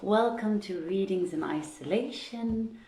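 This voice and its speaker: A woman's voice: mid-range voice, a little slow, gravelly, dark tone, recorded from a distance, a little hiss or hum. A woman hosting a reading and telling an old literary tale aloud at home. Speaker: reader and host of a live-streamed reading series. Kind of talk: literary storytelling read aloud, archaic prose and verse. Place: indoors at home. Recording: roomy home livestream echo.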